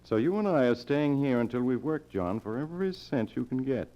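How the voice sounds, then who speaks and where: An actor playing a sly, calculating swindler who poses as a brother-in-law in an old radio drama. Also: male voice, medium pitch, expressive, fairly quick, close to an everyday voice. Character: scheming male swindler posing as brother-in-law, calculating, smooth-talking. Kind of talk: golden age radio drama acting. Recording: muffled old radio show recording.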